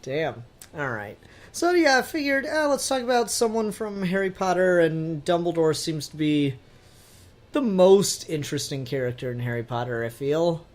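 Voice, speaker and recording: A man's voice: on the high side, lively intonation, normal speed. Casual young man hosting a chat show. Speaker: podcast host.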